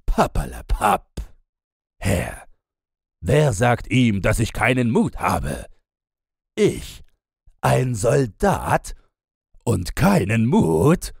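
Man playing a brash, gruff young soldier. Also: mid-range voice, huge pitch swings, extremely slow, long pauses, a little mellow, dry recording, clean studio sound, voice pushed up, heavily acted. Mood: defiant, boastful. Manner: gruff, boastful. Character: brash, belligerent young discharged soldier, stocky and fearless.

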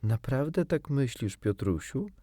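A man's voice: mid-range voice, expressive, unhurried, dark tone, no room sound, studio quality, pitched above the performer's own voice. A man voicing a prim, haughty yet kindly little girl from a storybook, speaking in a proper manner. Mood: caring, curious. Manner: proper, indignant, caring.

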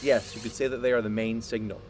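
An actor playing a proud, obsessive male scientist in an audio drama, speaking in a deliberate, grandiose way. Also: lowish voice, little pitch movement, a little mellow, slight background noise, close to an everyday voice. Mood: proudly demonstrating. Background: music.

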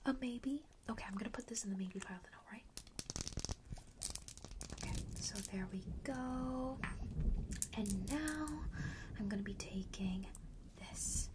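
A woman speaking in a breathy, mostly unvoiced whisper, playing a chatty, coaxing shopkeeper in a casual ASMR roleplay. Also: medium pitch, measured pace, pauses often, background noise. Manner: coaxing, chatty, checks in often with the listener. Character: witchy perfume shop seller, friendly and persuasive. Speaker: ASMR performer playing a fragrance shop keeper. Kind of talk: whispered ASMR roleplay, casual sales talk to one customer.

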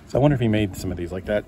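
A man's voice: fairly low pitch, warm tone, slight background noise. A man casually chatting to himself as he flips through a collector magazine, rambling and easily delighted. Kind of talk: casual chat over a magazine flip-through. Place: indoors at a table.

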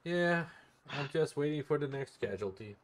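A man's voice: a little high, expressive, moderate pace. A laid-back man chatting casually in online game roleplay, swearing freely into a close microphone. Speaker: live streamer playing a medic in online roleplay.

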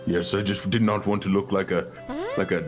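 A man's voice: low voice, little pitch movement, fairly quick, music in the background, natural voice. A man voicing a haughty, coy game character in improvised role-play, with pompous formal speech. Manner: pompous, formal, avoids contractions.

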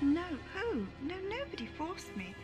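A woman's voice: extremely high pitch, wildly swooping pitch, normal speed, music in the background, exaggerated voice, low-quality recording. A woman playing a weary, naive immigrant in a science fiction audio drama, hesitant and plaintive. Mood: naive, wistful.

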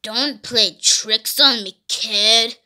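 A hungry zombie, acted out by a woman, moans in slow, drawn-out words. The voice drops well below the performer's own and drags in a homemade pretend-play story. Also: a little high, steady tone, pushed and bright, pitched below the performer's own voice, exaggerated voice. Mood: hungry, moaning.

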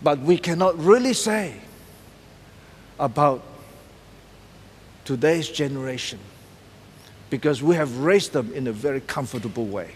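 A man's voice: medium pitch, lively intonation, measured pace, pauses often, slightly rough. A middle-aged man preaching a sermon as pastor, emphatic and repeating key words as he paces the stage. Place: large church stage decorated for Christmas. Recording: long hall reverb.

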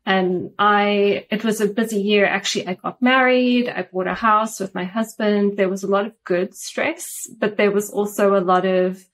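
A woman's voice: mid-range voice, moderate pace, hardly any pauses. A woman in her thirties with a South African accent, recounting her story steadily as an interview guest over a video call from home. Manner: thoughtful, steady storytelling with frequent ums. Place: home room with shelves and plants, indoors. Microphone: video call webcam.

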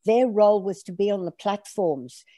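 A woman's voice: medium pitch, moderate pace, no echo, clean studio sound. A woman around seventy, French as her first language, telling her family's story as a guest on a video call interview from her home. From Australia. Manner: animated, gestures while telling stories. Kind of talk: conversational interview. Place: home room, indoors.

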